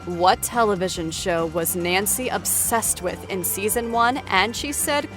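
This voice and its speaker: A woman's voice: mid-range voice, unhurried, music in the background. A woman hosting a playful trivia game show in a bubbly, upbeat manner. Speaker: show host.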